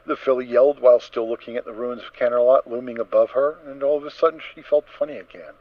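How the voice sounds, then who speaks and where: A man reads a dramatic story as narrator in a mid-range voice. His phrasing is measured and emphatic. The recording is close and dry, slightly dull and lo-fi. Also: mid-range voice, no echo.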